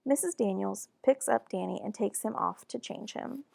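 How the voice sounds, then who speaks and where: A woman reading a children's storybook aloud in an expressive, deliberate and animated narration. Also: medium pitch, vocal fry, no room sound. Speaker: children's book reader.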